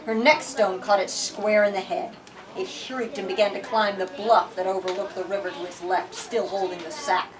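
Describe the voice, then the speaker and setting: A woman's voice: mid-range voice, fairly even intonation, normal speed, a crowd in the background. Woman storyteller, distant mic, audience around. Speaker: bookstore lit talk leader reading aloud. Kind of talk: dramatic story reading to a group. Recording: distant microphone, room with audience noise.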